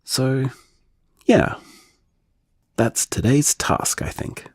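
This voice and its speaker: A man playing an anxious, tender man confiding in voicemails to his partner in an intimate audio drama, hesitant and earnest, with halting phrasing. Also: mid-range voice, lively intonation, a little mellow, slightly rough, no room sound, studio quality, heavily acted. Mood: determined, hesitant.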